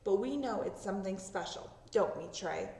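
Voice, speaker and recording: A woman's voice: medium pitch, lively intonation, moderate pace, dark tone, lightly acted. A woman voicing an eager, curious young girl in a children's storybook, exclaiming proudly and confidingly. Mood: excited. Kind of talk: children's storybook read-aloud. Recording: roomy echo, distant microphone.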